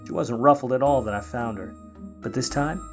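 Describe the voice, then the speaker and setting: A grudging, hardboiled private eye, acted by a man out of a 1950s radio noir. He has a medium-pitched voice and a slangy, wisecracking way of talking, with music playing underneath. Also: a little fast, lightly acted. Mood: wry, brooding. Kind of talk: 1950s noir radio play acting and narration.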